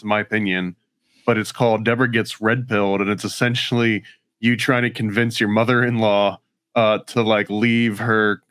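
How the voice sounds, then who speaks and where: A man's voice: mid-range voice, a bit bright, clear, resonant tone, no room sound. Male podcast host, casual interviewer, clean resonant tone, close dry recording.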